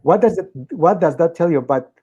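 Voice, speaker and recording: A man's voice: fairly high pitch, expressive, a little fast, dark tone, slightly rough, highs cut off. A man in casual chat, steadily explaining statistics with occasional fillers.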